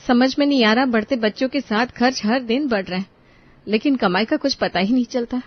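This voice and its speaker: A woman's voice: fairly high pitch, brisk, natural voice. A woman voicing a fretful middle-class mother. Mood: worried. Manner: anxious, fretful. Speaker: voice actor. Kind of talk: animated story dubbing. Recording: dull, dry studio recording.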